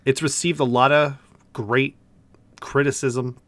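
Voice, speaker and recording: A man speaks as a podcast co-host in a casual, conversational way about games. His voice sits at an average pitch, and the sound is dry, with little room to it. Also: level tone, measured pace, fairly bright tone, no room sound. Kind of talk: casual gaming discussion.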